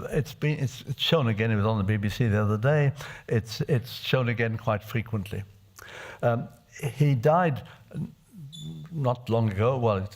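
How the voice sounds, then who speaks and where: An older man from Czechoslovakia, a native Czech speaker, giving a reflective speech as a parliamentarian at a desk microphone in an assembly chamber, halting and restarting often. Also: medium pitch, a little mellow. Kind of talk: personal testimony speech.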